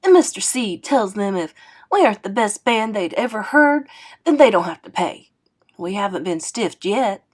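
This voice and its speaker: A woman's voice: medium pitch, very animated, moderate pace. A woman voicing a teasing, proud, blunt male musician from a 1930s jazz band in a storybook. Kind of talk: acted storybook dialogue.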